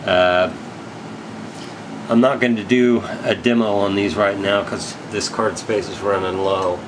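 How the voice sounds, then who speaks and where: A man giving a casual, unscripted product rundown as a gear reseller, halting and technical in a matter-of-fact way. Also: fairly low pitch, fairly even intonation, noisy background. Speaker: radio equipment reseller presenting gear.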